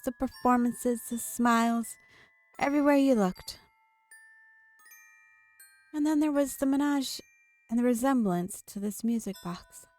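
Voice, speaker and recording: A woman's voice: on the high side, a little mellow. A woman hosting a gaming video, reading a visual novel aloud and now and then stumbling over a word.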